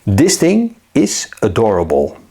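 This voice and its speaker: A man narrating a scripted gadget review in a measured, upbeat way. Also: lowish voice, huge pitch swings, vocal fry, mellow, husky. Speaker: tech reviewer.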